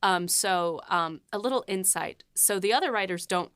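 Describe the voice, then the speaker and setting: A woman's voice: medium pitch, normal speed, a bit bright, smooth, dry recording, clean studio sound. A woman co-hosting a podcast as a writer, chatting enthusiastically with stammers and giggles. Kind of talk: casual behind-the-scenes chat.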